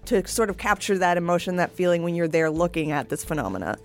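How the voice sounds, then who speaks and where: A woman's voice: fairly low pitch, big pitch swings, fast, soft background music. A woman with a Canadian accent, hosting a podcast and chatting casually with her co-host. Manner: chatty, laughs easily. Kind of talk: casual chat.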